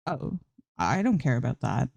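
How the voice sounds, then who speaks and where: A woman's voice: a bass voice, big pitch swings, moderate pace, creaky, darkish tone, dry recording, muffled recording, heavily acted. A woman voicing a nonchalant, blunt young woman character in a fan fiction reading. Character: cool, unbothered, blunt young woman, casual roommate.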